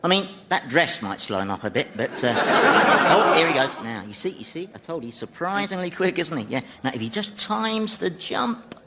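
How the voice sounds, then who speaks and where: Radio comedy devil: offhand, sardonic ruler of Hell, highish lively voice, some background hiss. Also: male voice, moderate pace. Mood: gleeful, sardonic. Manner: offhand, conversational, hesitant, wry. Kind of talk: radio sitcom acting.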